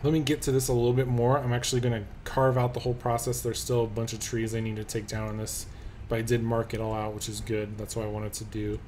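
A man narrating gameplay as a let's play host, relaxed and thinking aloud with many pauses and ums. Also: medium pitch, a little hiss or hum. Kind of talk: casual game commentary. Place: at a computer, voice-over of gameplay.

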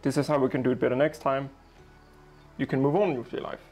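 A young man as a guest in a casual conversation on an outdoor balcony, explaining ideas in a relaxed way. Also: medium pitch, fast, faint music behind.

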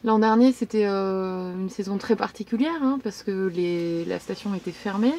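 A woman's voice: medium pitch, moderate pace, a little mellow. A woman reporter asking questions in a conversational field interview.

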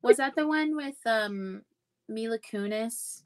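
A woman's voice: on the high side, takes it slowly. A woman in her thirties co-hosting a casual book livestream, talking in a laid-back, blunt way. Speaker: book discussion co-host. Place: room at home, video call. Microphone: video call.

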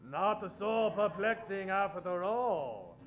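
A man playing a courteous, self-assured general sleuth in a stage radio play, speaking in a measured, formal way. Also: high voice, sing-song, soft background music, heavily acted. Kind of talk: old-time radio acting. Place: theatre stage. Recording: dull stage recording.

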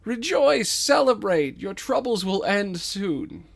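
A man's voice: high voice, big pitch swings, slow pace, creaky, pitched above the performer's own voice, exaggerated voice. A man voicing a scummy schemer who poses as a regal king in a storytelling podcast, declaiming grandly. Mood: grandiose. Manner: grandiose, declamatory when addressing subjects. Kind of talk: dramatized script reading.

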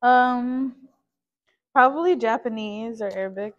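A young woman sitting for a portrait in a small home studio, chatting casually, curious and quick to laugh. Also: on the high side, lo-fi sound. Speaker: sitter and guest. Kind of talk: casual chat.

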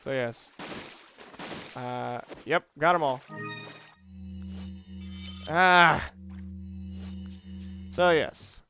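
A man's voice: a little high, drawn-out words, pauses often, music in the background. A man giving casual video game commentary, rambling along and thinking aloud as he plays. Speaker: let's play host.

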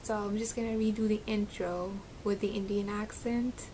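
A woman's voice: medium pitch, flat delivery, normal speed, warm tone, a little hiss or hum, low-quality recording. A young woman with a slight Arabic accent, chatting casually and giggling to camera from her bedroom, with plenty of ums. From Syria. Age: young adult. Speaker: beauty vlogger. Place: small bedroom at home, indoors. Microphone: close webcam, seated facing camera.

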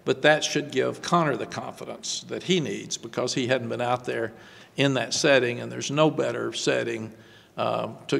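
An older man, a head football coach, answering postgame press questions at a desk microphone, halting and repeating words before quick emphatic runs. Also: medium pitch, creaky, fairly bright tone, long reverb tail. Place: press room before a sponsor backdrop. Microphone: desk microphone on stand.

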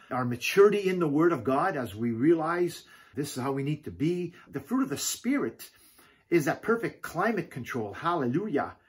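A middle-aged man preaching a video sermon at home, animated, gesturing and calling out hallelujah often. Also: a little high, breathy edge. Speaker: pastor. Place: home living room, indoors.

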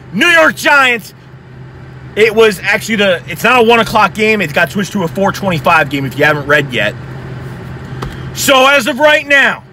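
A young man with a hoarse, worn voice, ranting excitedly and profanely about a game from inside his car at night, recorded close on a phone. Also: extremely high pitch, slight background noise. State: hoarse from yelling. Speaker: sports fan vlogger. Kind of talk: heated post-game fan rant.

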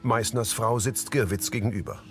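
A man's voice: fairly low pitch, normal speed, a bit bright, soft background music. A man reading scripted present-tense audio description of scenes as a narrator.

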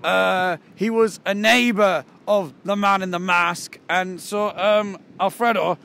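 A man in his twenties acting as a flustered police detective, asking halting, repetitive questions in an improvised comedy film. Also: high voice, steady tone, creaky, bright, forceful tone, exaggerated voice. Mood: awkward questioning. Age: 20s. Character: flustered male police detective investigating murders. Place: outdoors by a rocky seafront. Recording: noisy outdoor camera audio.

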